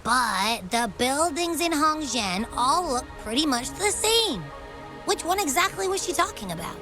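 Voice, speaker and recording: A woman voicing a cheerful, chatty young companion character in a video game, playful and quick to exclaim. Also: high voice, very animated, music in the background. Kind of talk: game voice acting.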